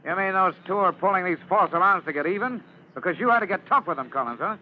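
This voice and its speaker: Animated, commanding fire lieutenant. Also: male voice, high voice, fast talker. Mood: indignant, serious. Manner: clipped, commanding. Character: brisk, exasperated fire company lieutenant, commanding his men. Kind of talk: old-time radio drama acting. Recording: dull, lightly noisy vintage radio recording.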